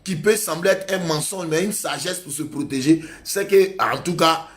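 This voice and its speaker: A man preaching in a fairly high voice, driving his points home with insistent, repeated exhortations. He has the steady, earnest push of a pastor addressing his congregation. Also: normal speed. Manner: emphatic, repetitive exhortation. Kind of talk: sermon.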